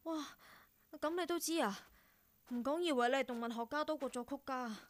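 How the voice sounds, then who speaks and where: An actress playing a curious, excitable young female radio intern in a radio drama. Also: sits high, moderate pace, close to an everyday voice. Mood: curious, amazed. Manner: excitable, teasing, exclamatory. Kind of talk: Cantonese radio drama acting.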